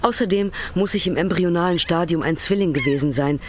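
Frau spielt freche polnische Kunststudentin, sachlich, mit Musik. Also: female voice, on the low side, fairly quick, background noise, lightly acted. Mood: frank, deadpan. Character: brazen young Polish woman art student who steals for art. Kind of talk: scripted radio play narration and dialogue. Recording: music behind the voice. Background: music.

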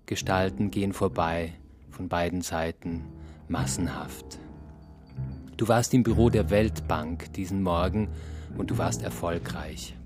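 A man with a very low voice narrates a radio drama over clearly audible music. He takes his time, speaking very slowly and placing each phrase deliberately. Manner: measured, deliberate phrasing with pauses. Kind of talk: second-person radio play narration. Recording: music under the voice. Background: instrumental music.

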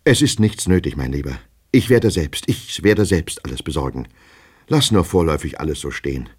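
A man voicing an anxious, flustered gentleman from a storybook, agitated and repeating himself as he despairs. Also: extremely low pitch, animated, normal speed, slightly rough, heavily acted. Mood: desperate. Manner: repetitive, stammering, agitated, talking to himself. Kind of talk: dramatic audiobook character reading.

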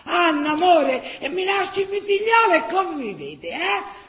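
Woman as urgent, bossy Tuscan townsfolk shouting. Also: very high-pitched, sing-song, measured pace, voice pushed up, heavily acted. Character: urgent, bossy townspeople calling out warnings in wartime. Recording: roomy stage sound, faint background noise.

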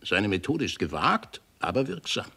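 An actor playing a friendly, skeptical old doctor who explains things in a scholarly, deliberate way in a 1960s German radio drama. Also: male voice, fairly low pitch, sing-song, gravelly, sharp tone, husky, heavily acted. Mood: animated explaining. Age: older. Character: friendly old doctor, skeptical, learned leper-clinic director.

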